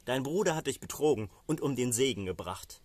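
A man's voice: mid-range voice, animated, a little fast, darkish tone, slightly rough, no echo. Man reading as a solemn old patriarch father. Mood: dismayed.

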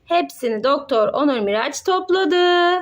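A woman's voice: high-pitched, lively intonation, measured pace. A woman playing with her child, narrating toy doctor play in a sing-song, encouraging way and explaining each step.

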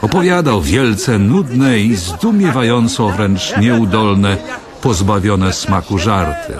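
Deep-voiced, world-weary radio drama narrator. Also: male voice, animated. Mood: reflective. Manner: measured, literary, reflective. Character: world-weary, brooding man recalling a wartime-era evening.

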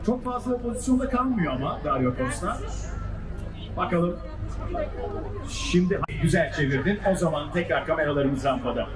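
High-pitched male event announcer over echoing speakers. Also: animated, moderate pace, long pauses. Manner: exclamatory, hyping the crowd. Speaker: live event announcer at an air show and flying contest. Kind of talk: excited live commentary over a public address system. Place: outdoor seaside public event. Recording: echoey loudspeakers outdoors, distant, loud music and crowd. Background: music and crowd noise.

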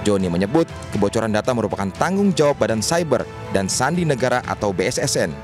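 Lively male news voice-over with music. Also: fairly low pitch, quick pace. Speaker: news reporter. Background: news background music.

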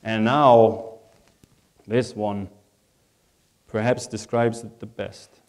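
A man's voice: lowish voice, steady tone, drawn-out words. A man with a German accent, a native German speaker, preaching a sermon as a pastor in a reflective, conversational way, repeating phrases for emphasis.

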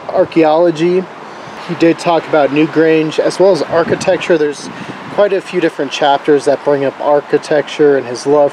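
Slightly hoarse man, mellow casual review. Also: fairly high pitch, slight background noise, poor audio. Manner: relaxed, rambling, with fillers and restarts. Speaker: book reviewer.

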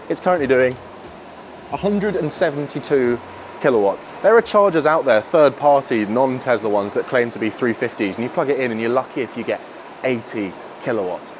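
A man's voice: mid-range voice, background noise. Chatty young British man reviewing a car. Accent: British English. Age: young adult. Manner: chatty, wry, opinionated. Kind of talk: casual presenting to camera. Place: inside a car while driving, later outdoors at a charger.

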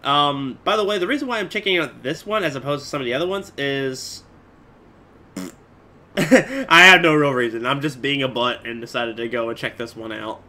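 Bright-voiced young man rambling casually. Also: mid-range voice, animated, normal speed. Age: 20s. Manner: rambling, hesitant phrasing. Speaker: reaction video host. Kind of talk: casual reaction commentary. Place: small room at home. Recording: noisy home webcam recording.

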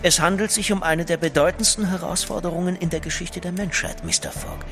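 An actor in a German audio drama playing a driven gentleman writer, dramatic and insistent, sometimes hushed. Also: sits high, steady tone, brisk, soft background music. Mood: earnest, insistent. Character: intense, driven gentleman writer pleading for help.

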